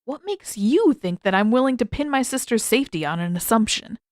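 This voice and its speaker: A cocky teenage boy acted by a woman banters in an audiobook. He is brash, animated and sarcastic, quick to tease and protective underneath. The recording is dry and close. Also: fairly high pitch, no room sound, close to an everyday voice. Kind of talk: audiobook character voice.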